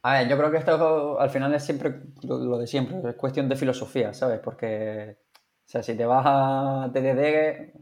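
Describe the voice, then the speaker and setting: A man's voice: mid-range voice, fairly even intonation, moderate pace, a little mellow. A man chatting casually as a podcast co-host, thinking aloud with frequent restarts. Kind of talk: casual chat.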